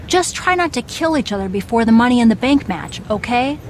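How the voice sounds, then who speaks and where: A woman's voice: fairly high pitch, lively intonation, fairly quick, slight background noise, natural voice. A woman playing a bossy, seasoned wrestling legend in video game dialogue, firm, matter-of-fact and wry. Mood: frank, firm.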